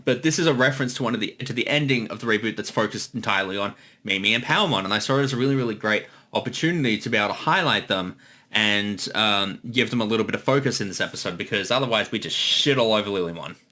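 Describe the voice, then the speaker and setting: A man's voice: on the low side, fast talker, bright, forceful tone. A man giving casual behind-the-scenes creator commentary, eagerly stammering and repeating words as he explains.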